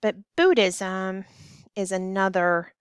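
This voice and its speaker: Female elementary teacher, recorded lesson for children, slow and simple directions, dry close recording. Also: mid-range voice, clear, resonant tone, no echo. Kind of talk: recorded classroom lesson, step-by-step instruction.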